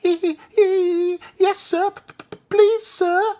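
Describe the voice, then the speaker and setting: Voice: extremely high pitch, big pitch swings, slow pace, creaky, pushed and bright, pitched above the performer's own voice, heavily acted. A man voicing a young, stubborn male steam engine in a children's story, with chanting, puffing delivery. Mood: nervous, muffled. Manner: chanting, puffing shh sounds, stammers when nervous.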